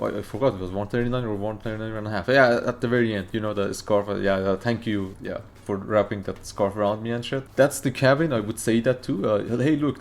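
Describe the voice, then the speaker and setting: A man whose first language is Farsi, hosting a casual reaction video and arguing his point in a rambling, excitable way with many fillers. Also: on the low side.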